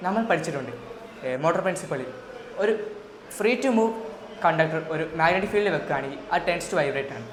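A woman with a Malayali accent tutoring physics, explaining step by step as in a school lesson. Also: fairly low pitch. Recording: echoey room with faint noise.

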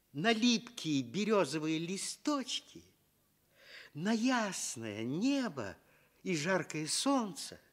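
Man, Soviet radio fairy-tale narrator, slow, very varied intonation, tender, dramatic pauses. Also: fairly high pitch. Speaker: storyteller.

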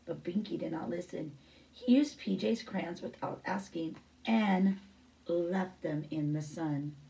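Middle-aged female teacher for storybook read-aloud, low creaky voice, picked up from a little way off. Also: warm tone, recorded from a distance, low-quality recording. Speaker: teacher reading a picture book aloud.

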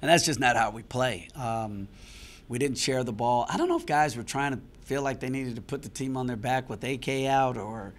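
Frustrated middle-aged coach at a press conference. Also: male voice, mid-range voice. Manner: frank, frustrated, measured with restarts. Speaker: basketball head coach. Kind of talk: post-game press conference. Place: press room before a sponsor backdrop. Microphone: handheld mic on a stand close in front.

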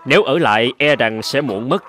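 A man playing a courteous country gentleman in an animated fairy tale, speaking politely and formally with deliberate phrasing. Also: medium pitch, slight background noise, natural voice. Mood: apologetic. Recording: background music under the voice.

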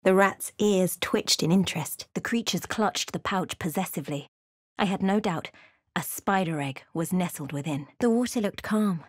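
Woman acting a measured, wry fantasy adventuress, dry close sound. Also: fairly low pitch, no echo, natural voice. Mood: measured, watchful. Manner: measured, literate, dramatic storytelling with wry asides. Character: observant young adventuress, a fantasy game companion recounting her journey. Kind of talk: video game voice lines, dramatic narration and remarks.

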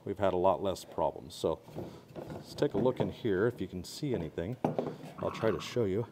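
A man's voice: deep voice, moderate pace, heavy background noise. A man giving a casual hands-on walkaround of equipment he built, explaining details while filming with a handheld phone in a workshop. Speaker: pressure washing skid builder.

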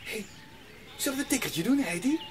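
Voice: high voice, animated, brisk. A performer playing a blunt, reluctant young goatherd boy in a children's audio drama. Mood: easygoing. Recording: noisy outdoor ambience with birdsong.

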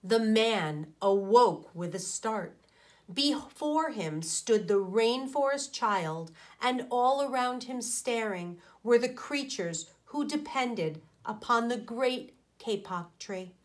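A woman, a teacher reading a children's storybook deliberately and expressively. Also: fairly high pitch, lively intonation. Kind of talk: storybook read-aloud for children. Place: small indoor room.

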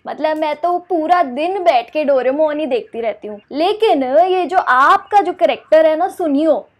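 A woman's voice: high voice, unhurried. A woman, playing an indignant, scolding mother on a prank phone call. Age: 20s. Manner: scolding, indignant, talking over the other person. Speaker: prank caller. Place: small curtained room indoors. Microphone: clip-on lapel mic.

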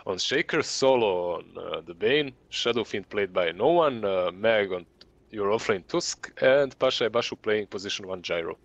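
Give me a man with a Russian accent casting esports in a relaxed, joking way. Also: on the low side, moderate pace. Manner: joking, laid-back. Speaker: co-caster. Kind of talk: esports commentary.

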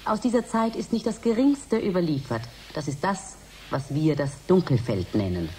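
An actress playing a matter-of-fact scientist in a radio drama, answering precisely and formally. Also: female voice, on the low side, sing-song, moderate pace, dark tone. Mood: factual. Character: matter-of-fact female scientist, an expert guest. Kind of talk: radio drama, expert interview. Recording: faint background noise.

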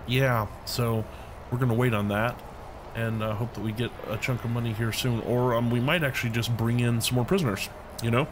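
A man's voice: medium pitch, fairly even intonation, brisk. Rambling man with a scratchy voice. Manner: rambling, thinks aloud, hums filler tunes. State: recovering from illness, a bit scratchy. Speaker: let's play host. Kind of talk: casual gameplay commentary.

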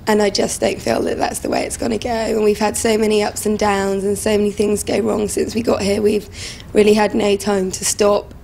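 A woman's voice: medium pitch, little pitch movement, a little fast, vocal fry, few pauses, darkish tone, a little hiss or hum, rough recording. A British woman in her twenties, talking candidly as a bride on a reality show. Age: 20s. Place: indoors, bridal shop.

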